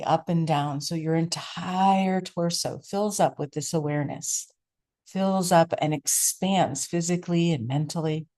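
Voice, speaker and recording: A woman with a low voice leads a guided meditation as its teacher. She speaks very slowly, offering gentle instructions in short phrases. Long, unhurried pauses separate one phrase from the next.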